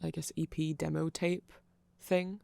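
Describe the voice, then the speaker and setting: A man's voice: a little high, flat delivery, a little slow, vocal fry, a little mellow, no room sound. A man giving a nerdy, rambling ASMR explanation, often correcting himself and checking in with the listener. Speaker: ASMR creator.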